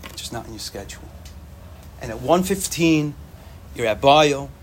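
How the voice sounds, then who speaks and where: A young man whose first language is Yiddish, giving an informal, animated Torah talk to students and repeating phrases for emphasis. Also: medium pitch, normal speed, slight background noise. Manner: animated, repetitive, sprinkles Hebrew and Yiddish terms.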